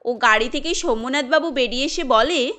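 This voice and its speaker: A woman's voice: sits high, normal speed, pushed and bright. A woman narrating a scripted cartoon story as a storyteller.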